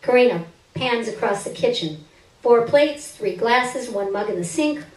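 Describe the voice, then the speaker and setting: A woman's voice: fairly high pitch, unhurried. Distant, roomy-sounding woman reading dramatically. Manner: expressive, theatrical delivery. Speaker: reader performing a short story on stage. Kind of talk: dramatic first-person literary reading. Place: live stage reading indoors. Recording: roomy stage, distant microphone.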